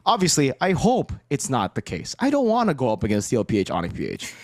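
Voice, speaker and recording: A man's voice: mid-range voice, huge pitch swings, fast, a little mellow, dry recording, studio quality. A man from Indonesia chatting casually and playfully as an esports podcast panelist.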